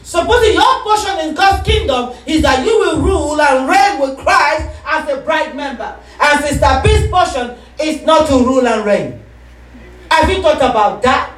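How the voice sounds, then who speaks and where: A woman pastor preaching a sermon, calling for responses and rising into shouts. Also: high voice, lively intonation, normal speed, far from the mic. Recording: echoey room, voice from off-mic.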